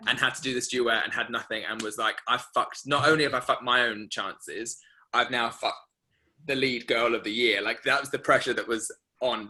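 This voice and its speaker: A young man hosting a class, telling a rambling story full of fillers and lively quoted asides. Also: mid-range voice. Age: young adult. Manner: rambling, filler-heavy, animated retelling. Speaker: masterclass host. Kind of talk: casual storytelling to an online class.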